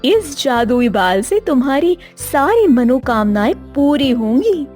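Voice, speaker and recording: A woman's voice: sits high, sing-song, slow pace, darkish tone, heavily acted. A woman playing a solemn, benevolent goddess who makes pronouncements in a fairy tale. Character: solemn, benevolent goddess testing a girl, granting magic. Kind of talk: voice acting in a Hindi fairy tale. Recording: music behind the voice.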